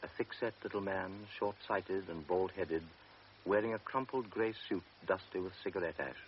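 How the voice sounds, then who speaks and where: Male narrator, very low voice, measured literary reading, dull hissy old radio sound.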